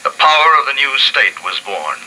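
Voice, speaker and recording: A man narrating a story in a slow, grave, measured way, recorded in a basement. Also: medium pitch, sing-song, pushed and bright, slight background noise, rough recording. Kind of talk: dramatic audiobook narration.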